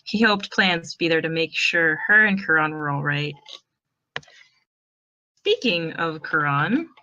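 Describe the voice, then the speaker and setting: Female narrator for fan fiction, bright and expressive, on the lower side. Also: muffled recording.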